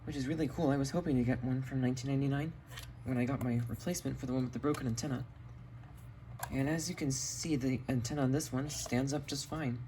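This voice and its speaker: Relaxed matter of fact guy doing show and tell on his phone in a basement, bit of noise behind. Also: mid-range voice, fast. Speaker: radio collector presenting finds. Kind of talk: casual show-and-tell vlog. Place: home basement. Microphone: cell phone. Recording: audible background noise, phone recording.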